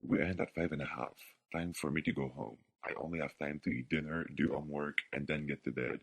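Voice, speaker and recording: Very deep young man debating, dry sound. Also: fairly even intonation, a little fast, creaky, breathy edge, no echo. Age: young adult. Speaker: student debater on a radio show. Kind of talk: scripted debate.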